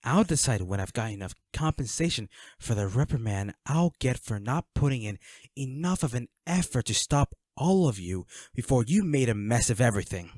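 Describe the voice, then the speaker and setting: A man's voice: mid-range voice, animated, normal speed, a little mellow, no room sound, studio quality, natural voice. A man playing a flirty, smug villain henchman in a roleplay audio drama, taunting a captive in a teasing, coolly controlling manner. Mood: possessive, smug.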